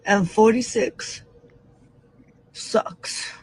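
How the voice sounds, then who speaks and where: A woman in her forties talking slowly and candidly into her phone from a parked car, sounding weary. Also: mid-range voice, fairly even intonation, a little mellow, breathy edge, no echo, low-quality recording. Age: 40s. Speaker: social media poster. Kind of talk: confessional phone video. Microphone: phone at arm's length.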